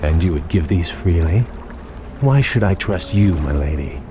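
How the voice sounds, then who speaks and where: An actor playing a proud, noble elven prince, formal and restrained with simmering resentment, in a fantasy video game. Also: male voice, deep voice, expressive, normal speed, mellow, a little hiss or hum. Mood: suspicious. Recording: music behind. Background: game music.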